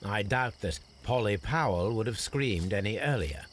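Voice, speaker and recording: A man playing a calm, confident Victorian gentleman detective in a video game, with a British accent and a precise, formal manner. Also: fairly low pitch, moderate pace, slight background noise, natural voice. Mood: musing. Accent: British English.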